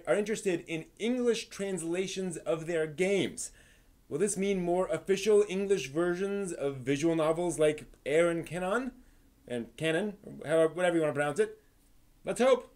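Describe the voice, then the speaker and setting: A man hosting a live stream in a casual, improvised way, thinking aloud with halting phrasing. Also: high-pitched, a little mellow.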